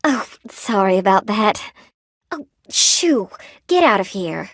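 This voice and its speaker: A woman voicing a friendly, gentle spider-woman seamstress in a video game, sweet and polite, eager to please and a little lonely. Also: mid-range voice, big pitch swings, takes it slowly, dry recording, clean studio sound, heavily acted. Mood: flustered, apologetic. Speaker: game character, shopkeeper. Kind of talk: video game voice acting, short character barks.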